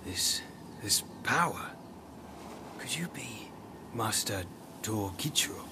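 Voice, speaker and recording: A man's voice: lowish voice, lively intonation, heavy background noise. A male actor playing a grave, courteous, ailing young samurai strategist, speaking formally in a video game cutscene. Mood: awed. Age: young adult. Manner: formal, measured. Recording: loud music behind the voice.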